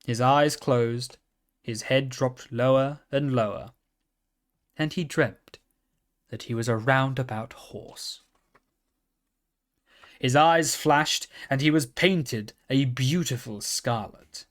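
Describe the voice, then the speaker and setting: A man's voice: medium pitch, takes it slowly, pauses often. A man narrating a children's story from a script as a storybook reader.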